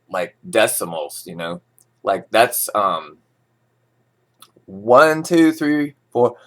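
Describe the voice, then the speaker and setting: A man's voice: medium pitch, fairly bright tone. A man talking casually and digressively, mixing read-out financial news with his own opinionated, informal asides. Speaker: vlogger reading forex news with commentary. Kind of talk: casual rambling talk mixed with read-out news.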